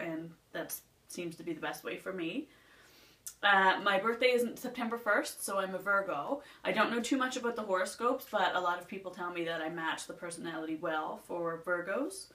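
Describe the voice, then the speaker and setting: A woman's voice: lowish voice, moderate pace, sharp tone. A young woman from Nova Scotia with a Nova Scotian twang, rambling chattily through a list in a casual beauty vlog. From Nova Scotia, Canada. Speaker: beauty vlogger. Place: indoors at home, seated. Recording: roomy sound from a distant mic.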